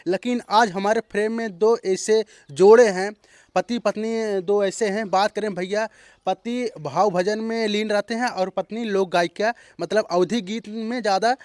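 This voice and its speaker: A man's voice: sits high, steady tone, a little slow, a little mellow, no echo. A man hosting a channel and interviewing a couple, talking in a loose, repetitive way. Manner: repeats phrases, loose rambling intro. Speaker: host, interviewer. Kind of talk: casual roadside interview.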